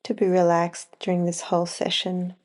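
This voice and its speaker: A woman guiding a yoga nidra relaxation, speaking in short, unhurried phrases with long pauses between them. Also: lowish voice, monotone, a little mellow. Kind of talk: slow guided relaxation meditation.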